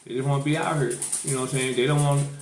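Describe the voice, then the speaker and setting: A man's voice: mid-range voice, level tone, very fast, roomy sound. A man hosting a podcast, talking loosely and rambling, with many restarts and fillers. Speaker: podcast host. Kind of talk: casual chat.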